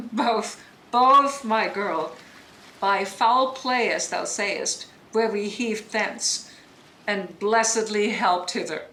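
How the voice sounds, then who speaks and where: A woman's voice: medium pitch, slow pace, bright, forceful tone. A woman playing a bitter, scholarly deposed duchess and sorceress mother in Shakespearean audio drama, speaking in a declamatory, emphatic way that demands attention. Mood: tender, rueful. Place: indoor room, recorded over internet link. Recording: roomy remote recording, noise.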